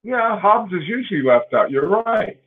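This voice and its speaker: A man's voice: high-pitched, big pitch swings, normal speed, slight background noise. A man in his forties hosting a casual live-stream chat, rambling with fillers and frequent laughs. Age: 40s. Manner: rambling, laughing often, with many fillers and restarts. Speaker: host. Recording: tinny phone-like line.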